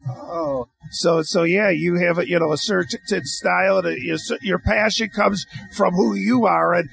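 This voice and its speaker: A man's voice: high-pitched, a little slow, gravelly, fairly bright tone, rough recording. A male radio host interviewing a guest, asking rambling questions with frequent stops and restarts.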